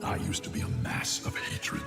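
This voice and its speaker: A man voicing an ancient giant fox spirit in a dubbed anime, with a gruff growl and a wry, resigned, weighty delivery. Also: normal speed, close to an everyday voice. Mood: brooding, reflective. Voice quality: deep gravelly growl. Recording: cavernous reverb under loud music.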